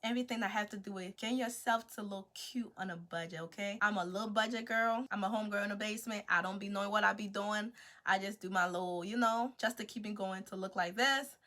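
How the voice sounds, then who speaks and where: Woman, beauty vlogger, casual chat, playful, slangy, medium pitch. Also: fairly even intonation, fast, bright, forceful tone. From Liberia, West Africa. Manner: chatty, playful, repeats "okay" as a tag, slangy.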